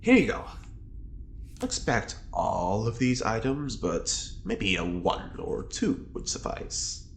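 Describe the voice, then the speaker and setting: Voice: medium pitch, sing-song, slow pace, vocal fry, a little hiss or hum, heavily acted. An actor playing a smug, flirty long-term prisoner in an audio drama, with arch, flamboyant, teasing delivery. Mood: smug, wheedling.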